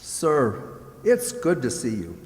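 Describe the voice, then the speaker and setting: A man's voice: medium pitch, big pitch swings, takes it slowly. A man playing a polite, earnest young servant lad in a children's radio play. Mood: delighted. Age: young adult. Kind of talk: old-time children's radio play acting. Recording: echoey hall from a distance.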